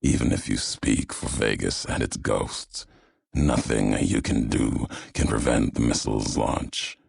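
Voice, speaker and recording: A man voicing a brooding, vengeful wasteland messenger in a video game, speaking in solemn, measured, cryptic pronouncements with a gravelly, husky voice. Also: extremely low pitch, flat delivery, darkish tone, no room sound, clean studio sound, exaggerated voice. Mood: grim, judging. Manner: solemn, measured, cryptic oratory with weighted pauses. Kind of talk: dramatic video game character monologue.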